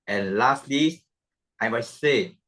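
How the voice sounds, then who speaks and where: A man, a non-native English speaker, giving a slow, halting panel talk at a club meeting and sometimes repeating himself. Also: mid-range voice, a bit bright. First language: not English.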